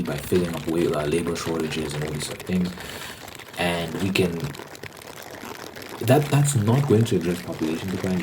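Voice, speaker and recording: A man hosting a rambling, opinionated ASMR monologue, haltingly repeating phrases for emphasis. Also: deep voice, noisy background. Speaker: ASMR host.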